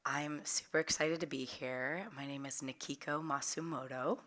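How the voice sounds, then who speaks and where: Hoarse woman with a slightly cracky voice. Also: rumbling low, fairly even intonation, moderate pace. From Central Valley of California. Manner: warm, open storytelling with frequent laughs. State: hoarse, recovering from losing her voice. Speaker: workshop leader. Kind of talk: personal storytelling talk.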